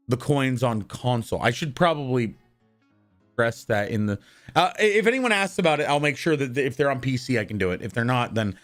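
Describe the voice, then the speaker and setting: A man with a worn-out, husky voice chatting casually with his live-stream viewers and shouting greetings to newcomers. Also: mid-range voice. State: hoarse, worn-out voice.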